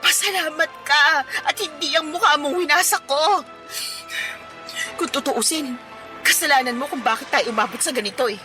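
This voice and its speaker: Actress as a shrill, furious jealous girlfriend. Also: moderate pace, over music, natural voice. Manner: shouting, tearful, sarcastic, confrontational. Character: jealous, furious young woman confronting cheating boyfriend. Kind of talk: melodramatic radio drama acting.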